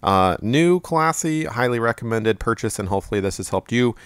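A man giving a relaxed, rambling product review at home, with plenty of fillers and restarts. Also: mid-range voice, a little fast, clean tone, no room sound.

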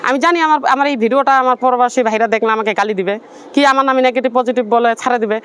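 A woman's voice: on the high side, rapid-fire, fairly bright tone, smooth. A woman with a Bangladeshi accent making a personal appeal in a pleading, insistent manner. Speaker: interviewee telling own life story.

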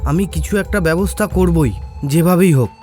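A desperate village fisherman, acted by a man in an animated horror story. His voice is fairly high, earnest and humble, with soft music behind. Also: normal speed, lightly acted. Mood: desperate resolve. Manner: earnest, pleading.

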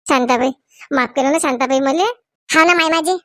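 Apologetic cartoon mother played by a woman, high pitch, beseeching, rural Maharashtrian accent, close dry sound. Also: brisk, sharp tone, no echo. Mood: pleading. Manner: beseeching, repetitive. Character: pleading, apologetic village mother, anxious to please. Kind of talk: Marathi comedy cartoon dialogue.

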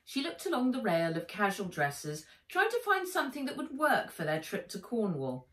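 Casting: middle-aged female children's storyteller, expressive, lively pitch, roomy slightly distant home recording. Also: normal speed. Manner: expressive, emphatic. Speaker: author reading her book aloud. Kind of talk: dramatic storybook narration for children. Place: sitting room by a window, indoors.

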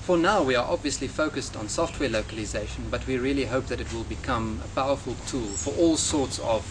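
A man in his thirties with a South African accent, a native Afrikaans speaker, answering interview questions in a measured, earnest way outdoors in front of a hedge. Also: mid-range voice. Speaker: software developer. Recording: outdoor noise with passing traffic.

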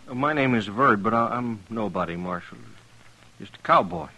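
Nervous western cowboy, humble, halting, sharp tone, old radio drama. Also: male voice, on the low side, expressive, rough recording. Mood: timid, uneasy. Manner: halting, hesitant. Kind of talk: 1950s radio western acting.

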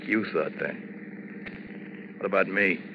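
Casting: cold, bitter husband, low voice, terse menace, vintage radio drama with muffled sound. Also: male voice, unhurried, gravelly. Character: cold, embittered husband who hates his wife. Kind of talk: 1950s radio drama acting. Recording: dull, noisy 1950s radio broadcast.

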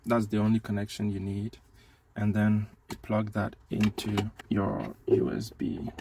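A man's voice: lowish voice, monotone, a little slow, warm tone, slight background noise. A man giving a casual hands-on product demo as a tech reviewer, speaking inside a car.

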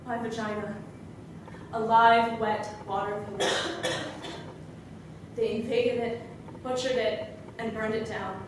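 A woman on stage playing a traumatized, grieving Bosnian refugee in a dramatic monologue, speaking in halting phrases with deliberate pauses. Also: a little high, level tone, far from the mic. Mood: anguished. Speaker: stage performer. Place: indoor theater stage. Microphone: distant camera microphone. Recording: echoey stage recording from afar, noisy.

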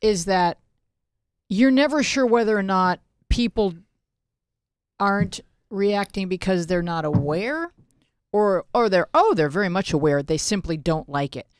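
A woman's voice: mid-range voice, expressive, measured pace, clear, resonant tone, no room sound. A woman hosting a conversational podcast interview, close on a studio microphone. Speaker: podcast host. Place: small podcast studio, indoors. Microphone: close studio microphone with headphones.

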